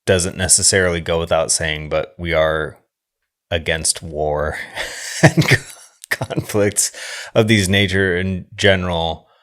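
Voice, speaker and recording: A man with a low voice talks as a podcast co-host. He is wordy and reflective, stopping and rephrasing as he builds a long thought in casual conversation. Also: steady tone, slow pace, fairly bright tone. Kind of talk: casual film discussion.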